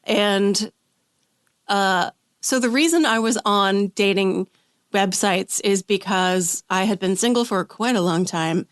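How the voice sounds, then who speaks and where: A woman guest on a podcast, telling personal stories in a chatty way with quick asides, her throat a little rough after an illness. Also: medium pitch, dry recording, studio quality. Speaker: guest, author. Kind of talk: casual podcast conversation.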